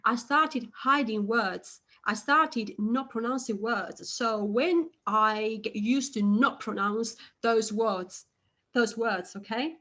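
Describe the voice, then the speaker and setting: A woman's voice: a little high, slow, pushed and bright. A woman talking as a podcast guest in a casual interview, hesitant, with repeated words and frequent fillers.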